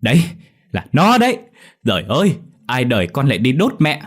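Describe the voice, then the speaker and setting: A man voicing a village woman in a story, wailing loudly as she accuses. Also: medium pitch, wildly swooping pitch, normal speed, husky, voice pushed up. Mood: wailing accusation. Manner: loud sobbing accusation.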